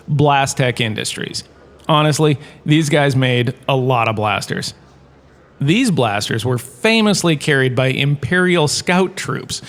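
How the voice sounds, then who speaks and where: A man playing an enthusiastic, quirky lecturer, a washed-up star, in a scripted comedic audio drama, chatty and full of showy asides. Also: fairly high pitch.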